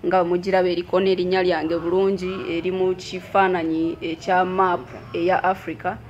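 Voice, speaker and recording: A young woman with a Ugandan accent, seated in an office, explaining her award in a measured, proud way. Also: on the low side, little pitch movement. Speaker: journalist interviewee. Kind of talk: interview answer. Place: office with armchair. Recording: dull sound, faint ambient noise.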